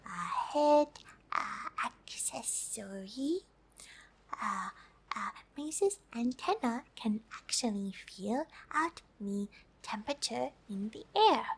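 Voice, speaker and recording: A woman's voice: on the high side, lively intonation, slow pace, slightly rough, exaggerated voice. A woman voicing a friendly, polite alien who is curious about humans, speaking hesitantly in an ASMR roleplay. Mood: gentle, explaining. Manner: hesitant, speaks of self in third person.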